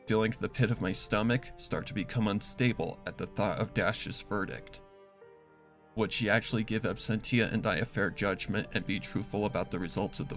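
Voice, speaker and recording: A man reading a dark first-person story as scripted dramatic narration, serious and deliberate. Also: fairly low pitch. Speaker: fan fiction reader.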